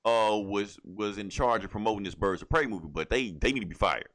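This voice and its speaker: A man's voice: lowish voice, fairly quick, a bit bright. A man talking casually and emphatically as a podcast co-host, giving opinions and repeating phrases to drive his points home. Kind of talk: casual opinionated pop culture podcast talk.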